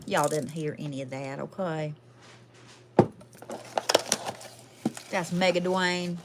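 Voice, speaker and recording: A woman's voice: low voice, moderate pace, very noisy. A woman playing a sassy, foul-mouthed, folksy Southern woman with a twang, chatting casually as a livestream host. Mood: amused, teasing. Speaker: livestream host doing readings. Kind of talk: casual livestream chat in character.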